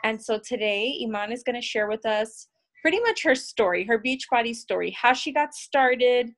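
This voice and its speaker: A woman's voice: a little high, normal speed, a bit bright. A woman hosting a group video call, introducing a guest in a casual, encouraging way. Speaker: host and fitness coach.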